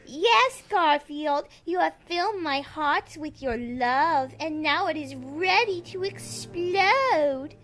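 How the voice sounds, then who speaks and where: A gushing, amorous first lady from a storybook parody, acted by a woman. The voice is extremely high and drawn out slowly, with faint music behind. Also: expressive, fairly bright tone, heavily acted.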